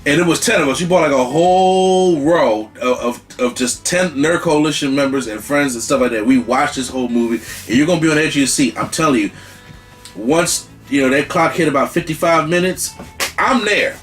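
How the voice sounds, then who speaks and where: A man's voice: on the high side, fairly quick, faint music behind. A man hosting a casual movie review, rambling and emphatic, with slang and the odd curse. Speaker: movie reviewer, channel host.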